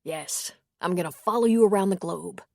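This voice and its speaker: A woman voicing a gentle middle-aged man, an earnest former priest, in a warm, softly persuasive read. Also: on the low side, moderate pace, gravelly, dry recording, clean studio sound. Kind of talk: audiobook character reading.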